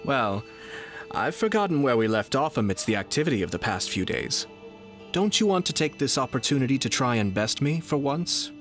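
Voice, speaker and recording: An actor playing a composed, shrewd young nobleman in a video game, speaking in formal, measured, courtly phrases. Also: mid-range voice, very animated, fairly bright tone, soft background music. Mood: politely teasing. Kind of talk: scripted video game dialogue.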